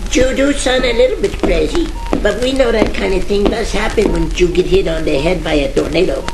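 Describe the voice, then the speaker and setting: A woman's voice: mid-range voice, a little fast, natural voice. A woman playing a chatty, droll friend of a detective in an old-time radio comedy parody. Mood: dry, teasing. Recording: roomy, music behind, old radio crackle.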